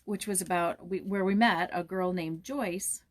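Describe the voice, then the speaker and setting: A woman narrating a children's story aloud in a measured, expressive storytelling manner. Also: fairly low pitch. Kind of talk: storybook read-aloud with informal introduction.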